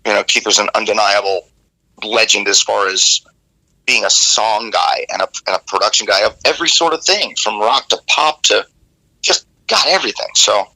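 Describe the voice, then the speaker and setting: A man, a rock vocalist, telling studio stories in a candid, animated way in an interview, with casual swearing. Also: fairly low pitch, moderate pace, low-quality recording.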